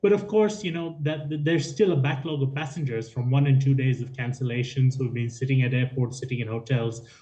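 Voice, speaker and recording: A man speaking as an expert guest on the news, explaining off the cuff in a measured, hesitant way with repeated words. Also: medium pitch, mellow. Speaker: aviation consultant, interview guest. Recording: dull, lo-fi remote link.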